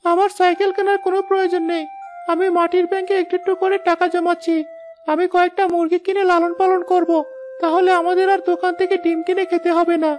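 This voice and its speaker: A woman's voice: very high-pitched, level tone, fast talker, darkish tone, faint music behind. A woman voicing an earnest, dutiful schoolboy from a poor family in a cartoon moral story.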